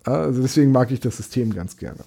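Chatty German game master, mellow voice. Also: male voice, mid-range voice, expressive, fast, creaky, husky. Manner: chatty, explains at length with many restarts. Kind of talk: casual chat about tabletop games.

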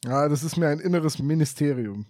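Mann, trocken, hohe Stimme. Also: male voice, a little high, expressive, a little fast, darkish tone, no echo. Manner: dry joking, tired. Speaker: podcast co-host. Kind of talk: casual podcast chat.

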